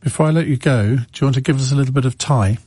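A man's voice: medium pitch, very fast, dark tone, husky, no room sound, clean studio sound. A man hosting a phone-in radio show, who breaks in and challenges his callers. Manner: interjecting, challenging. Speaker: radio host.

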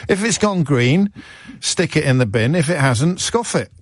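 A man's voice: fairly high pitch, animated, moderate pace, no echo. A man hosts a radio phone-in, joking and chatting loosely with callers. He often restarts and trails off mid-sentence. The studio sound is close and dry. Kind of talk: casual radio phone-in chat. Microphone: studio mic.

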